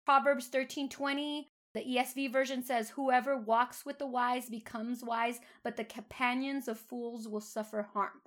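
A woman's voice: fairly high pitch, steady tone, normal speed, few pauses. A woman who is a professional therapist, co-hosting a podcast in casual chat, explaining patiently and laughing often.

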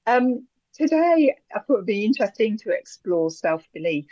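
A woman co-hosting a podcast in casual chat, talking warmly with frequent ums and little laughs. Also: medium pitch, big pitch swings, moderate pace, breathy edge, no echo, dull audio. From England. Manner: chatty, giggly, hesitant with many ums. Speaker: podcast co-host.

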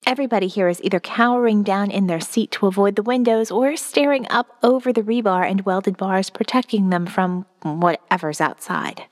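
A woman's voice: mid-range voice, normal speed, hardly any pauses, darkish tone, long reverb tail, natural voice. A woman voicing a weary, wary survivor reading out her diary in a wry, observant first-person audio novel narration. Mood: grim, observant.

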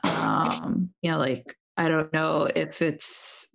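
A woman's voice: low-pitched, slow pace, gravelly. A woman co-hosting in casual chat, thoughtful and asking questions. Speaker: podcast co-host. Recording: dull lo-fi audio.

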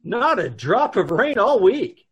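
A folksy male gas station attendant, acted by a man, chatty and a little hesitant. The voice is high for a man and husky, with very lively swings, in old-time radio style. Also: slow pace, exaggerated voice. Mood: friendly, chatty.

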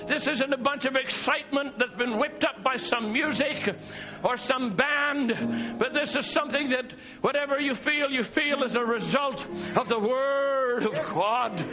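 A man preaching a fiery sermon to his church congregation, often shouting in a high, strained voice. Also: measured pace, over music. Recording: dull lo-fi church recording with congregation.

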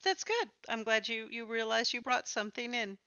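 A woman's voice: fairly high pitch, animated, normal speed, bright, forceful tone, dry recording, lo-fi sound. A woman hosting a casual interview about tabletop games, talking in an encouraging, reassuring manner. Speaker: podcast host and interviewer, also a game master.

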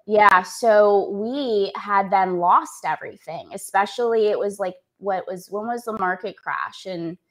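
A woman's voice: mid-range voice, unhurried, few pauses, fairly bright tone. A woman in her thirties from Canada, an executive coach speaking earnestly as a podcast guest, with lots of "like" fillers. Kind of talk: podcast interview.

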